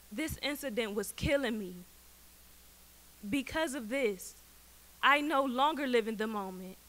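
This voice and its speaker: High-pitched, bright young American woman delivering a speech. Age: late teens. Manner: emphatic, dramatic delivery with deliberate pauses. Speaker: student essay contestant. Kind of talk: prepared personal speech read aloud. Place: auditorium stage, indoors. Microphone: podium microphone.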